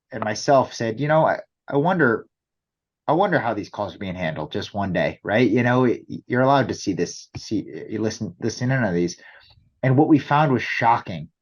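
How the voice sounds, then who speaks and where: A man's voice: mid-range voice, muffled recording. A man explaining things conversationally as a business interview guest, often checking in with rhetorical questions. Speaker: company executive guest.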